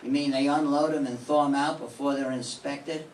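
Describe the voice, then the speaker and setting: A man's voice: mid-range voice, steady tone, moderate pace, natural voice. An older man playing a hard-boiled, wisecracking male private eye in a 1940s-style radio drama, with clipped, dry tough-guy lines. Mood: terse. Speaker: amateur radio drama student. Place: classroom. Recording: roomy classroom from a distant mic, faint hiss.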